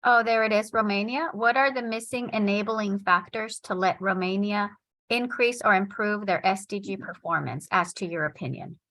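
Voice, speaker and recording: A woman's voice: medium pitch, normal speed, a bit bright, no room sound. A woman moderating a seminar question round on a video call, inviting and encouraging participants to speak.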